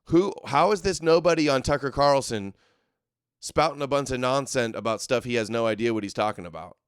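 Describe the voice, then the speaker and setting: Sarcastic man, smooth dry voice. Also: medium pitch, fairly quick, no room sound. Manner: sarcastic, scoffing. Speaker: show host. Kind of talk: mocking commentary over clips.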